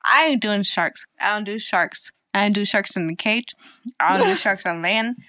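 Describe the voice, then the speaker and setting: A woman's voice: medium pitch, animated, moderate pace, sharp tone, dry recording, studio quality. A woman co-hosting a podcast in casual chat, a little tipsy, swearing and laughing as she argues. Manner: profane, laughing, argumentative. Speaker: podcast co-host.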